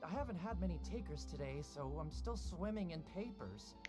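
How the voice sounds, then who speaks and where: A woman's voice: fairly low pitch, animated, normal speed, lightly acted. An actress voicing a flustered young woman selling newspapers, who talks in a nervous, apologetic way. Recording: game audio with music behind.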